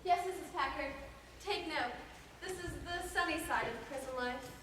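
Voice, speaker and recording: A woman's voice: sits high, normal speed. A young woman on stage playing a wry, resigned asylum inmate who speaks matter-of-factly. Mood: wry resignation. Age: young adult. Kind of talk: stage drama acting. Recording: echoey hall, distant mic, loud noise.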